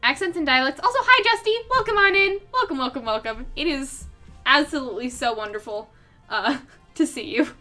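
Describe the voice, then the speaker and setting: A woman's voice: sits high, expressive, brisk, bright, forceful tone. A young woman streaming her art, chatting casually with viewers in a rambling way, full of fillers and frequent laughter. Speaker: artist streamer. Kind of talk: casual livestream chat with viewers. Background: faint background music.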